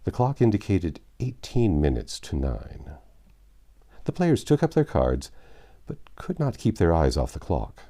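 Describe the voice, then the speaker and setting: Male narrator, low mellow voice, very animated intonation, theatrical storybook reading. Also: measured pace. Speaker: audiobook narrator. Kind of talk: scripted narration of a classic novel.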